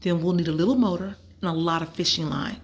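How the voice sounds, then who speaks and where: A woman's voice: on the low side, fairly even intonation, fast talker, close to an everyday voice. A woman voicing a bold, scheming schoolgirl ringleader in a children's story. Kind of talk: read-aloud children's story.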